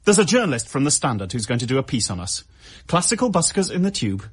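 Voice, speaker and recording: Volatile, husky, possessive young man. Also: medium pitch, very animated. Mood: grandiose excitement. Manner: insistent, needy, quick to anger. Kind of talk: radio drama acting.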